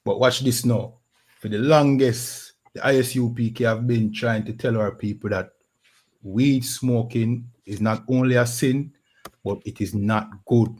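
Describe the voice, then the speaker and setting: A man's voice: mid-range voice, steady tone, a little slow, darkish tone. A man with a Jamaican accent hosting a podcast in a casual talk-show style, often saying "you know what I mean". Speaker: podcast host.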